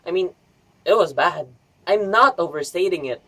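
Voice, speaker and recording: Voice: mid-range voice, moderate pace, pushed and bright, rough recording. A vlogger giving an enthusiastic, scripted review in a chatty, emphatic way with rhetorical asides.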